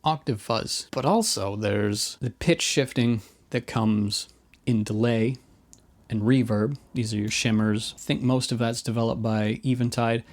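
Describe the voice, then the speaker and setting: A man's voice: medium pitch, unhurried. Man giving a casual, opinionated explainer. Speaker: host of a guitar effects video.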